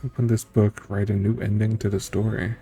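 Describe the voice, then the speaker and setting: A man's voice: fairly low pitch, flat delivery, brisk, warm tone. A man streaming a story game, reading its text aloud and adding casual, musing reactions as he thinks it through. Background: game music.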